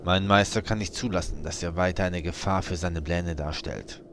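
Very low male voice, acted, hooded villain's henchman, menacing, smug, fantasy parody audio drama, faint background noise. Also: steady tone, moderate pace. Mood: ominous.

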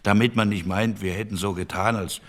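An actor playing a dry, sceptical, opinionated statesman speaking deliberately in a radio drama debate. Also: lowish voice, fairly even intonation, natural voice. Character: dry, sceptical liberal male elder statesman in committee.

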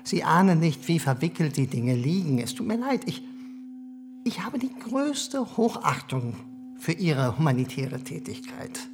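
An actor playing a cynical, condescending middle-aged German privy councillor in a radio play, with smooth talk and hesitant fillers. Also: male voice, a little high, sing-song, a little slow, noisy background.

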